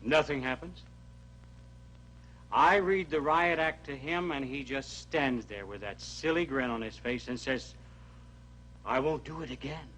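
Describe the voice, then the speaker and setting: An actor playing an army motor sergeant who complains bluntly about a troublesome soldier. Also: male voice, mid-range voice, measured pace, long pauses, fairly bright tone, slight background noise, lightly acted, rough recording. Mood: exasperated. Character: gruff exasperated male motor sergeant. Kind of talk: 1960s educational film acting.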